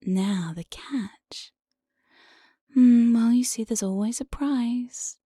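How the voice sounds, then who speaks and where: A woman playing an evil, playful demon jailer in an ASMR roleplay, breathy and often whispering, with a sly, mock-sweet menace, close on the microphone. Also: a little high, slow pace, dark tone, no room sound. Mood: gloating, scheming. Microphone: close binaural studio microphone, dry.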